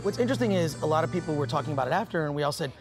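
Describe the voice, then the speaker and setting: A man's voice: on the high side, animated, very fast, loud music behind the voice. A man working as a show host, interviewing guests in an upbeat style.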